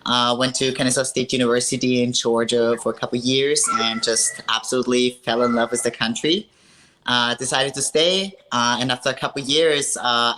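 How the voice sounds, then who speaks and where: A woman in her thirties from Austria, a strongwoman athlete telling her story with earnest upbeat warmth in a casual call interview. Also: very deep voice, a little hiss or hum, poor audio.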